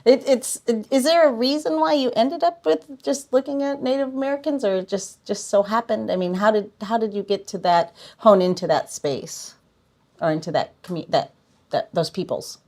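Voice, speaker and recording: A woman's voice: fairly high pitch, moderate pace, darkish tone. A woman hosting a podcast, chatting casually with her guest and asking long, rambling questions. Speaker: podcast host. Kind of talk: casual chat, interviewing a guest.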